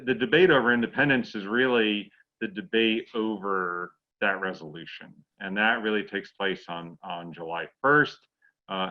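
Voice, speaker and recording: A man giving a halting, explanatory answer as a discussion guest, often repeating words as he goes. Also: low voice, dull audio. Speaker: guest author.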